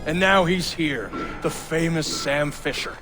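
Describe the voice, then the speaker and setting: An actor playing a smug, ruthless rogue agency boss who taunts with drawn-out emphasis in a dramatic video game. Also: male voice, on the high side, music in the background, close to an everyday voice. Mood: smug, menacing.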